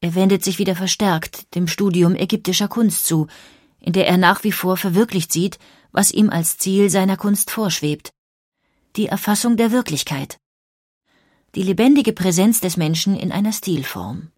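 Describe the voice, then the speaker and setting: Lowish female narrator, dry studio sound. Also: normal speed, a little mellow, dry recording. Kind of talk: scripted documentary narration.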